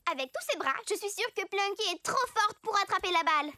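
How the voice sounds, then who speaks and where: A woman's voice: extremely high pitch, expressive, quick pace, sharp tone, heavily acted. A woman voicing an eager young superhero schoolgirl in a French cartoon dub, bubbly and confident. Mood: excited, confident.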